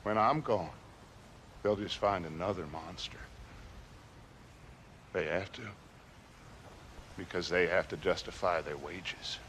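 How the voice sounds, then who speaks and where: An aging American outlaw gang leader in a dramatic video game. He is defiant and fatalistic, speaking slowly and deliberately like a preacher of his own philosophy. The scene has noisy sound behind his voice. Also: sits low, a bit bright, full character voice. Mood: weary, resigned.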